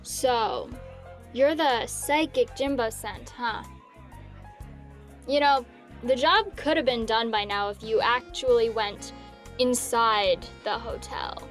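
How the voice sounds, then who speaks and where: A sardonic female ghost hunter in an audio drama. Her voice sits somewhat high, and her delivery is blunt, dry and unimpressed. Faint music plays behind her. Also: slow pace. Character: world-weary, independent female ghost and demon hunter, sardonic. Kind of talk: scripted audio drama acting.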